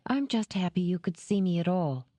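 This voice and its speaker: A woman's voice: fairly low pitch, lively intonation, normal speed, dark tone, dry recording. A woman voicing a fragile young woman in an audiobook, anxious, polite and faltering as she speaks.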